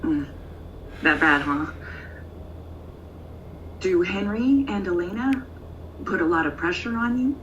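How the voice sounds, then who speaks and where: A tender middle-aged mother in an audio drama phone call. She speaks slowly and hesitantly in a slightly low voice, through a muffled, distant line with faint noise. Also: lively intonation, fairly bright tone. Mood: eager, hesitant. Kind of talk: naturalistic audio drama acting.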